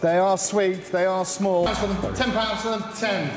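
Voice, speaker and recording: A man's voice: sits high, steady tone. A man calling bids as an auctioneer at a live sale, chanting numbers and coaxing bidders. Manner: rapid bid chanting, cajoling bidders. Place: large warehouse auction hall. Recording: echoey reverberant saleroom, music behind.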